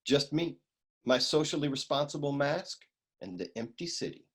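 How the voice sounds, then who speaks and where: A man playing a cynical, down-on-his-luck private eye in a humorous noir radio play, world-weary and grumbling, with a husky voice. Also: fairly high pitch, animated, moderate pace, music in the background, close to an everyday voice. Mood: self-pitying.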